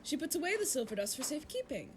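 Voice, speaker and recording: A prim storybook narrator played by a woman with a high, very lively voice. She tells a fairytale in a polite sing-song, fussy and controlling, insisting that things go her way. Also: fast talker, very noisy. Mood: prim, cheerful.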